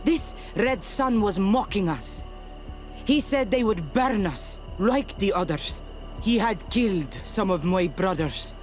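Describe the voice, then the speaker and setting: An actress playing a fierce, vengeful native warrior woman in a video game, blunt and deliberate. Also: mid-range voice, animated, soft background music. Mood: bitter, grim.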